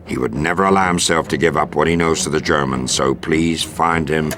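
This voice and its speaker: An actor playing a stern, composed American army intelligence colonel, giving a formal, measured mission briefing in a video game. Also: male voice, extremely low pitch. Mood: grave. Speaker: military officer giving a briefing.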